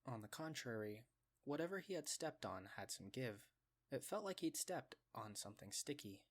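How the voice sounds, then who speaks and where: A male narrator reads a scripted story in a mid-range voice. His delivery is measured and descriptive, in the manner of a storyteller. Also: medium pitch, lively intonation.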